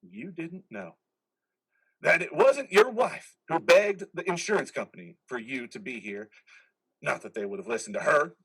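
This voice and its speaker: A man's voice: fairly high pitch, very animated, normal speed, a bit bright, breathy edge, full character voice, low-quality recording. A man playing a taunting, menacing imagined voice in a veteran's head, sneering and needling in a dramatic play reading. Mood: sneering, goading. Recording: remote reader's theater call.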